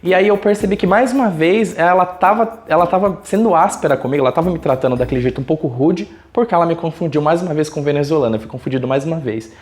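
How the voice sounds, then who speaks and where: A man's voice: on the high side, quick pace, hardly any pauses. A man from Brazil with a Brazilian accent, telling a personal story casually and colloquially as a YouTuber. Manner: colloquial, animated retelling with acted quotes.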